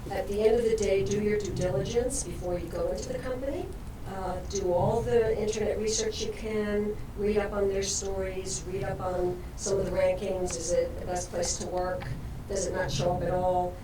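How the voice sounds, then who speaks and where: A woman's voice: medium pitch, steady tone, moderate pace, distant mic. A woman on a panel, a former ethics officer, giving direct and practical advice. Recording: echoey hall from afar with noise.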